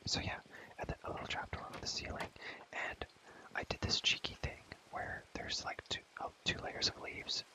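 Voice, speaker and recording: A young man whispering a casual, rambling ASMR gaming commentary, often repeating phrases and using relaxed slang. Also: normal speed, low-quality recording. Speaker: ASMR video creator.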